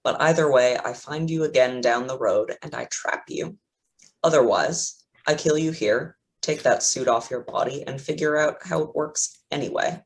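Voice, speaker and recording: A man's voice: medium pitch, normal speed, close to an everyday voice, poor audio. A man playing a smug, threatening supervillain crime boss in a staged play reading, with a taunting manner. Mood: coldly threatening.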